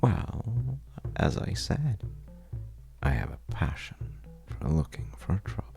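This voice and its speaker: A man playing a charming, shifty stranger at a bar in an intimate audio roleplay, telling wry, teasing tales in a hesitant, gravelly way. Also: dark tone, exaggerated voice. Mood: sly, wry. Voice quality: very deep, gravelly, breathy at times. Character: well-dressed, untrustworthy charming male stranger at a bar, bragging playfully.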